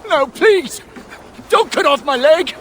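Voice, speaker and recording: A man's voice: extremely high pitch, animated, slow pace, a bit bright, slight background noise, full character voice. A man playing a blustering, easily rattled Saxon raider in a video game, with a strained delivery. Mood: terrified pleading.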